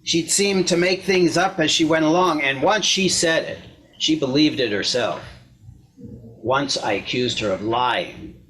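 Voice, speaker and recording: A man playing a wounded, self-justifying husband looking back on a failed marriage in a measured, reflective dramatic monologue reading. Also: a little high, a bit bright, rough recording. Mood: wounded, puzzled. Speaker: actor in a readers theater performance.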